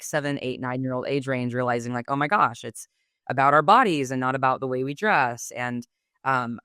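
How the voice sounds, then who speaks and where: A woman's voice: rumbling low, fairly bright tone, clean tone, dry recording, studio quality. A woman in her twenties from Texas, answering interview questions in a thoughtful, articulate way, with frequent "um" and "like". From Texas, United States. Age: 20s. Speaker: guest. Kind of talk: podcast interview.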